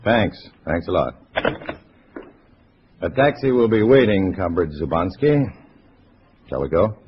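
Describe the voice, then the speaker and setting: Hard-boiled American male spy, very low register, clipped storytelling, dull vintage radio sound. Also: big pitch swings, measured pace, very noisy. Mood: urgent pretence. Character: tense undercover agent posing as a communist comrade. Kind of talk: 1950s radio drama acting and first-person narration. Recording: dull old radio recording with music.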